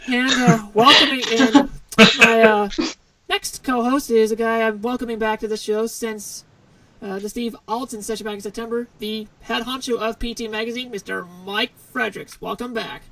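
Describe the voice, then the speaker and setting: Brief: podcast host, keen and chatty, introductions full of "uh", a little off-mic. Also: male voice, extremely high pitch, fairly even intonation, normal speed, bright, forceful tone, far from the mic, rough recording. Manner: enthusiastic introductions with fillers. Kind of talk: hosting a podcast interview.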